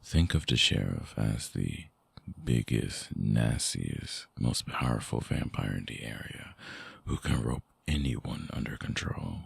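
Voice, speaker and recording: Man playing a very deep, gravelly vampire lover. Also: animated, no echo, exaggerated voice. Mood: brooding, explanatory. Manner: slow, halting, softly explanatory. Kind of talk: intimate ASMR roleplay audio drama.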